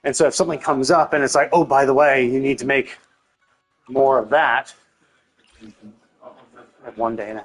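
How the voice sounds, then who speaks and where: A man's voice: mid-range voice, steady tone, moderate pace, long pauses, soft background music. A man doing casual live game commentary, thinking aloud in a rambling way and swearing casually.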